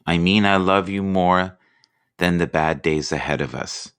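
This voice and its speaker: A man hosting a casual live chat, relaxed and rambling, who reads inspirational quotes aloud slowly. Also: deep voice, smooth. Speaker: livestream host.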